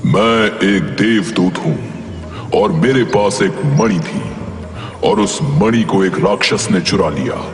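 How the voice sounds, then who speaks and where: Man voicing a deep, echoing, solemn tree spirit. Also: slow pace. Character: cursed male angel trapped as a talking tree, gruff, commanding. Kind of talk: Hindi cartoon story voice acting. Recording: echoing hall reverb, faint music behind. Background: music.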